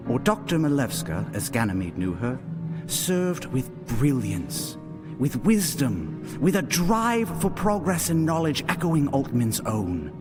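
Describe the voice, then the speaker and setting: An actor playing a solemn male cult leader, preaching a funeral sermon in a measured, lofty, oratorical manner. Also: a little high. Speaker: religious leader. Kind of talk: ceremonial sermon, dramatic audio acting.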